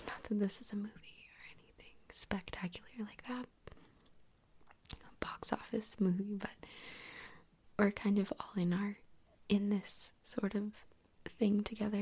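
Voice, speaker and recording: A woman hosting soft-spoken ASMR in a whispery, breathy voice, rambling gently and unhurriedly close to the microphone. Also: medium pitch. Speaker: host of an ASMR podcast. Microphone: close USB microphone.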